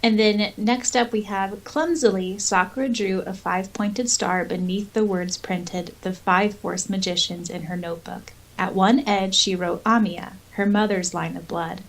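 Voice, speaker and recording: A woman's voice: mid-range voice, fairly even intonation, unhurried, hardly any pauses, rough recording. A woman co-hosting a podcast, discussing a story casually and reading passages from it aloud. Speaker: podcast co-host and audiobook narrator.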